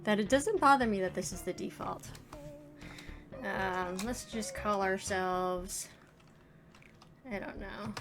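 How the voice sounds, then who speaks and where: A woman's voice: medium pitch, slow pace, pauses often. A woman in her forties giving casual first-impressions game commentary, thinking aloud in hesitant, trailing phrases. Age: 40s. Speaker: let's play host, indie game developer. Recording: music playing behind the voice. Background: video game music.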